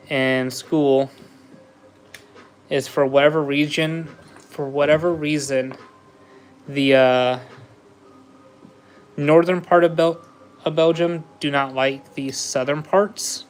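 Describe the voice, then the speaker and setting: Chill guy building lego on a stream, slow and pretty monotone, slightly high voice. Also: clean tone. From USA. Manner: slow, easygoing, chatty with viewers, laughs often. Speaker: livestream host. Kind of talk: casual chat while building Lego live.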